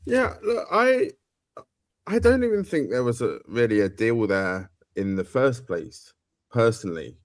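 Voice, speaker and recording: A man's voice: medium pitch, sing-song, measured pace, no room sound, clean studio sound. A man on a live panel discussion, speaking hesitantly with many fillers and restarts.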